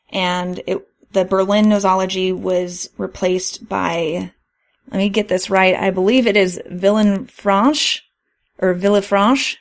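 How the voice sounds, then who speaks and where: A woman hosting a podcast who reads prepared explanations with care and sometimes laughs at her own slips. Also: medium pitch. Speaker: podcast host.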